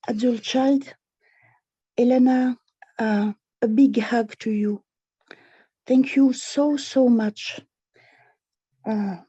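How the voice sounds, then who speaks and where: Woman sharing in tumbling phrases, drops to a whisper, mellow breathy voice, lo-fi call. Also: fairly high pitch, no room sound. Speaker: newcomer meeting member. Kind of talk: emotional personal share. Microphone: video call. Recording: dull lo-fi dry call audio.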